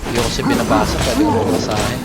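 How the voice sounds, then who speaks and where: A Filipino man with a mid-pitched voice gives casual gameplay commentary. He talks offhandedly in short reactions, as if half to himself. Loud game music plays behind him. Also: wildly swooping pitch, rapid-fire, low-quality recording. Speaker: gaming streamer. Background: video game music and sounds.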